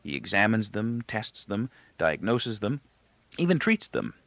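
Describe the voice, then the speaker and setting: An earnest, intellectual young man as acted by a male audiobook reader explains himself thoughtfully. His pitch moves up and down a great deal over a creaky base, in a dry, slightly muffled recording. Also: big pitch swings, a little slow, no room sound. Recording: dull, lo-fi, close dry recording.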